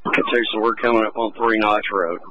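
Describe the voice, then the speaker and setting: Lower-voiced man on a muffled police radio. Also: steady tone, brisk. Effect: two-way radio. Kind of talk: terse pursuit radio calls.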